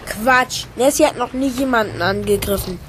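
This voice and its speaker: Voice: medium pitch, normal speed, close to an everyday voice. An actor playing an eager, brave young lord's son in a German radio play. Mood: bold, defiant. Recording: loud background noise over studio drama.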